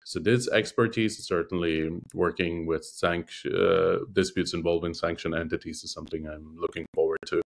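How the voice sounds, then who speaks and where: A deep-voiced man whose first language is Russian answers interview questions. He speaks in a measured, thoughtful way and often pauses to find the right word. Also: fairly even intonation, vocal fry. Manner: measured, thoughtful, frequent hesitations. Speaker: interview guest, arbitration lawyer. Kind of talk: conversational interview.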